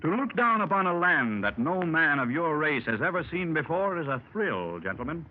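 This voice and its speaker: A formal storytelling explorer, muffled old radio. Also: male voice, fairly high pitch, very animated, normal speed. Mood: measured. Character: learned explorer Doctor Roberts, middle-aged man. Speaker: explorer recounting his expedition. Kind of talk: 1930s radio drama acting. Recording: muffled hissy 1930s radio transcription.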